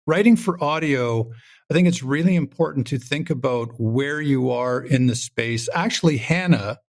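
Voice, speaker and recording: A man chats casually as an interview guest. His mid-range voice is notably creaky, and he thinks aloud in a reflective way. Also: mid-range voice, normal speed. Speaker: guest, audio drama creator.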